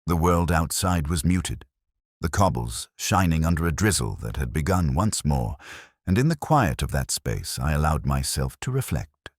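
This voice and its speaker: A man voicing a reflective, melancholy detective, narrating a scripted audio drama in measured, literary, contemplative phrases. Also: extremely low pitch, very animated, no room sound, studio quality, exaggerated voice. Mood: quiet reflection.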